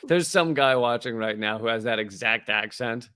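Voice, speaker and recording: A man's voice: medium pitch, moderate pace, pushed and bright, clean tone, no echo, studio quality. A man co-hosting a comedy podcast, rambling in a wry tone and laughing between lines. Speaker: podcast co-host. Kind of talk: casual comedy chat.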